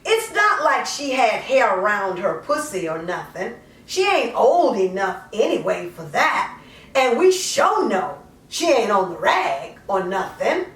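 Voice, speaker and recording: A woman performing a dramatic monologue poem as a troubled, self-justifying mother, colloquial, drawn-out and confessional. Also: mid-range voice, very animated, fairly bright tone, pitched below the performer's own voice. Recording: echoey room, distant mic.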